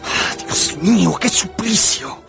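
Fervent young tutor over music. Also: male voice, sits high, lively intonation, slow, vocal fry, exaggerated voice. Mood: troubled narration. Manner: fervent, theatrical. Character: impassioned, lovestruck young tutor telling his story. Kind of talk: dramatic first-person narration and radio drama acting in Spanish.

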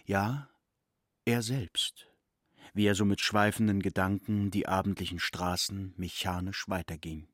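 A man's voice: sits low, fairly even intonation, measured pace, a bit bright. A man narrating a literary audio drama from a script. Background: music.

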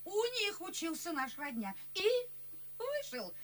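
A haughty, gossipy society princess in a radio play. Her high voice drawls slowly and affectedly, with big theatrical swoops. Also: huge pitch swings, bright, forceful tone, heavily acted. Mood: scandalised. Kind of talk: stage-classic verse radio acting.

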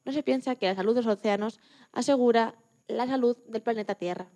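A woman with a fairly high-pitched voice speaks as a guest in an interview. She gives explanatory answers to the questions put to her. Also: fast talker, dark tone.

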